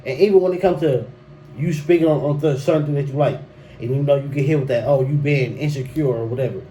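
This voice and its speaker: A man's voice: mid-range voice, fast talker, dark tone, poor audio. A young man, talking loosely and emphatically in a solo podcast monologue, often restarting and tossing in slang. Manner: loose, repetitive and emphatic, with frequent restarts and "bruh". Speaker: podcast host. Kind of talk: solo podcast monologue giving relationship advice. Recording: faint background noise.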